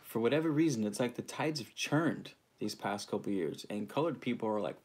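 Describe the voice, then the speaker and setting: A man's voice: mid-range voice, lively intonation, fairly quick, a little mellow, husky. A man from California hosting a casual livestream, rambling in a laid-back way with frequent ums and greeting viewers by name. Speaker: livestream host. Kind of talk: casual livestream chat reading viewer comments.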